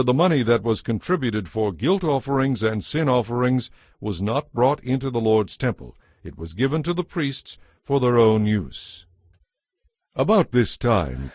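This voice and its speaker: A man giving a measured, formal scripted narration for a dramatized audio Bible, as narrator. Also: mid-range voice, a little mellow, husky, no echo, clean studio sound.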